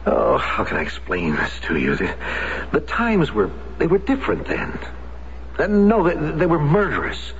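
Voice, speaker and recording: An actor playing an agitated middle-aged husband in a radio drama, pleading in a halting, emphatic way. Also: male voice, on the high side, wildly swooping pitch, a little hiss or hum. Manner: halting, emphatic, repeating himself. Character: agitated husband pleading with his wife not to go. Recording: dull vintage radio recording.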